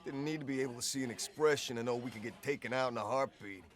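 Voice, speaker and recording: An actor playing a cocky soldier who tells war stories casually in clipped, wry military slang for a video game. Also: male voice, medium pitch, fast, natural voice. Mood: grim, wry. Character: cocky male soldier swapping war stories with his squadmate. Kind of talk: casual in-character storytelling.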